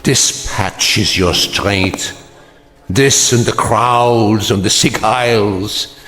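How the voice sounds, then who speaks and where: An actor playing a bitter, scornful old man who mocks a young agitator, his delivery slow and swinging widely in pitch. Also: a bit bright. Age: older. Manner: scornful, cutting, mocking.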